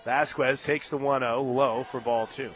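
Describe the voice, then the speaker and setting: Bright-voiced American man calling softball. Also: unhurried. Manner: animated calls that swell to shouts on big plays, conversational between pitches. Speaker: radio play-by-play announcer. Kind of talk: live sports commentary with casual banter. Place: radio broadcast booth at a ballpark.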